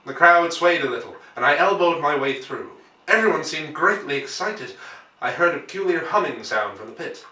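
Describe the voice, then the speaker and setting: Bright-voiced man reading, roomy and distant. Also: on the high side, expressive, normal speed. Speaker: audiobook reader. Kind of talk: dramatic scripted narration of a classic novel. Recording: roomy echo, distant microphone.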